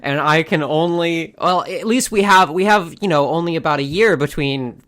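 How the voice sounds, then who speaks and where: A woman's voice: low voice, moderate pace, a bit bright. Give me a woman podcast host who laughs often and stumbles over restarts. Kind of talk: casual podcast chat.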